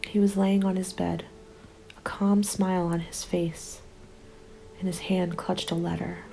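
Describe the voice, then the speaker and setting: Woman as creaky, whispering, weary apocalypse survivor. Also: fairly low pitch, level tone, faint music behind, low-quality recording. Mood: bleak resignation. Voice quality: breathy, soft, half-whispered. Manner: resigned, sombre, deliberate. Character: weary, hopeless apocalypse survivor writing a diary. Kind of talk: whispered ASMR roleplay, diary monologue.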